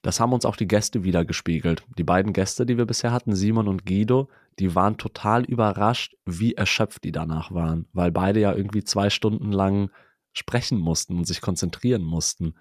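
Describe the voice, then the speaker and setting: A man with a lingering cold talks through his podcast year in a relaxed, rambling way. His voice sits a little low and sounds close and dry, with no room around it. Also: fairly quick, dry recording. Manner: reflective, talks in loose run-on sentences. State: has a cold. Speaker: podcast co-host. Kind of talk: casual podcast chat.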